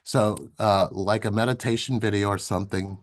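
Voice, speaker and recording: An older man with a very deep, low voice, talking gently and unhurriedly on a relationship coaching livestream. He rambles, restarts his sentences and repeats words as he works out his thoughts. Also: steady tone, normal speed. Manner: soft-spoken, rambling, repeats words as he thinks aloud. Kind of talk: casual spiritual livestream chat.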